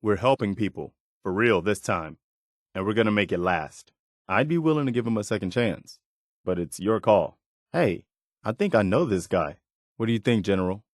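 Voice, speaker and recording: An actor playing an earnest, steadfast but weary militia officer in a video game, sincere and plainspoken. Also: male voice, lowish voice, lively intonation, normal speed, dry recording, clean studio sound, natural voice. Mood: earnest, steady. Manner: sincere, plainspoken, morally upright. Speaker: game companion character.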